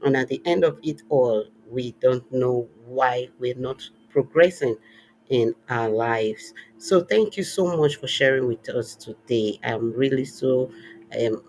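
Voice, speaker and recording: A man's voice: mid-range voice, a little slow, a little mellow, a little hiss or hum, low-quality recording. A man hosting a faith-based talk show, speaking earnestly and encouragingly with a preacher-like cadence. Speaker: podcast host; worship leader. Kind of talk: warm closing remarks and personal story.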